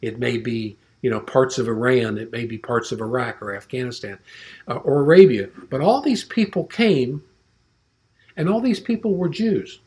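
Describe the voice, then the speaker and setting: A man's voice: medium pitch, a little mellow. Man, Bible study teacher, rambling, conversational, many fillers. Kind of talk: informal Bible class teaching.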